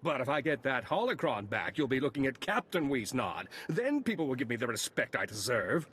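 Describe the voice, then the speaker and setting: A whiny, self-important lieutenant from a video game. His pitch swings widely and the voice is a little husky. He sounds pompous and aggrieved. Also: very animated, a little fast, a bit bright. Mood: petulant, self-important. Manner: pompous, petulant.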